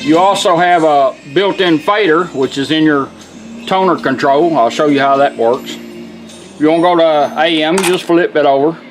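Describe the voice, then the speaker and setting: Higher-voiced man demonstrating, music behind. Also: moderate pace. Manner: plain-spoken, folksy, step-by-step. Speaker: vintage car radio restorer demonstrating a product. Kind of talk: informal hands-on product demonstration.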